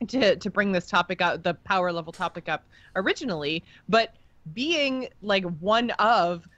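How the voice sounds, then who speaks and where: A woman's voice: medium pitch, lively intonation, normal speed, a bit bright, dry recording, highs cut off. A woman co-hosting a casual gaming podcast, talking in a chatty way and explaining her points at length. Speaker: podcast co-host.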